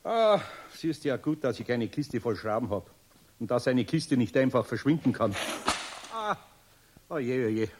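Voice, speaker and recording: A man's voice: medium pitch, very animated, unhurried, a little mellow, slight background noise. A man playing a middle-aged, good-natured, grumbling master carpenter with a Bavarian accent in a children's radio play. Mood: grumbling, puzzled. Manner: muttering to himself, grumbling.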